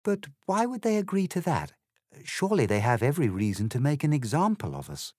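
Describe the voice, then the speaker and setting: A man's voice: on the high side, sing-song, a little fast, darkish tone, dry recording, studio quality, voice pushed up. A man voicing a polite, curious young girl who speaks primly. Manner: prim and polite.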